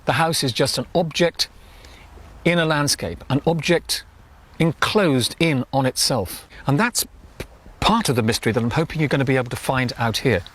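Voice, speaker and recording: A man teaching painting and presenting outdoors on a windswept coast, explaining at length with drawn-out emphasis. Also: medium pitch, fairly bright tone, breathy edge.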